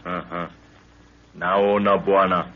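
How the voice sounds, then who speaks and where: An actor playing a loyal, solemn native jungle guide who speaks tersely in broken English, in 1930s radio adventure style. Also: male voice, sits low, level tone, slow pace. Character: loyal, solemn African native guide, man of few words. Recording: muffled, hissy 1930s radio recording.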